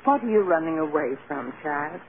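A woman's voice: deep voice, expressive, normal speed. An actress playing a stern but loving old grandmother, firm and earnest, in 1940s radio drama style. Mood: brisk, concerned. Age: older. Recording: tinny, hissy old radio recording.

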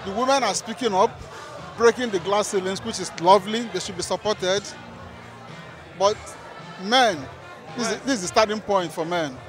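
High-pitched middle-aged man, crowd behind. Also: normal speed, long pauses. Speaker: interviewed guest. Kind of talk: on-camera vox-pop interview. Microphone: handheld reporter microphone. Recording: loud music and crowd behind.

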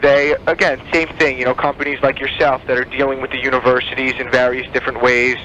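A man working as a telemarketer, giving a sales pitch over a phone line. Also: little pitch movement, fast, sharp tone. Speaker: telemarketer selling advertising. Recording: dull phone line, faint noise.

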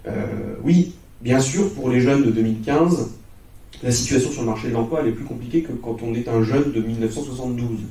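A man in his thirties delivers a lecture. His voice is relaxed and dark in tone, at a middling pitch. It carries room echo. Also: brisk. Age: 30s. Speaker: lecturer, social science researcher. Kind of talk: introductory public lecture. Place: conference hall, on stage. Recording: roomy, slightly distant hall sound.

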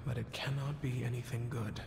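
Medium pitch, male actor as troubled dragonkin warrior, dutiful, grave formal speech, fantasy game, noisy ambience. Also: steady tone, brisk, creaky, echoey room, lightly acted. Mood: worried, grave.

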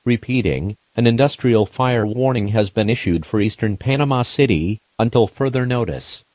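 A man's voice: medium pitch, moderate pace, noisy background. A man's formal, impersonal synthetic voice reading a scripted emergency alert announcement.

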